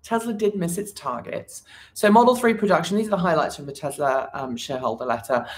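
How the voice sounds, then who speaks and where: A woman's voice: deep voice, slight background noise. A woman hosting a casual livestream, talking to viewers with many fillers and restarts. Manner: chats with viewers, reads the chat. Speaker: livestream host.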